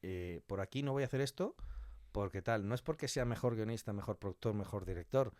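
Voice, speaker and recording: Give me a low-voiced man being interviewed. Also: brisk, darkish tone, no echo, studio quality. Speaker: podcast guest, audio fiction writer and director. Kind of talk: animated interview conversation.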